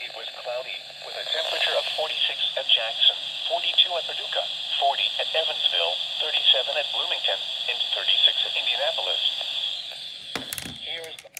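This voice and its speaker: A man's voice: medium pitch, animated, fairly quick. An American man reading a scripted weather bulletin as an announcer over the radio. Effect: radio broadcast. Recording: weak noisy radio reception, distant. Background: radio static and noise.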